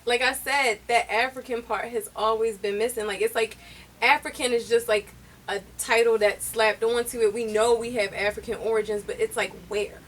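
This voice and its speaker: A woman's voice: a little high, fairly even intonation, normal speed, distant mic, a little hiss or hum. Tearful woman speaking from off-mic. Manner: emotional, speaks in short affirmations. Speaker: beauty store co-owner. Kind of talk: casual chat.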